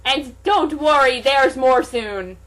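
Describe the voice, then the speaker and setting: A middle-aged father, earnest and gullible as a woman reads him, in a comic story. The voice is fairly high and dramatic. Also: slow, a bit bright, poor audio.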